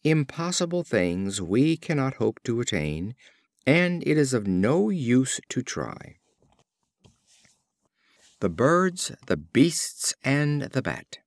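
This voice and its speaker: Lively, unhurried man reading fables. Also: medium pitch, no echo, clean studio sound. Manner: expressive storyteller delivery. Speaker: audiobook reader. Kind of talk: scripted fable narration.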